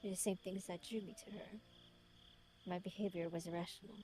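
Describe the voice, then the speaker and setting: A woman's voice: fairly low pitch, steady tone, mellow, a little hiss or hum, natural voice. Woman putting on a brooding British man, solemnly remorseful. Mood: melancholy, brooding. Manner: solemn, literary, measured. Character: brooding, remorseful, lonely man writing a confession.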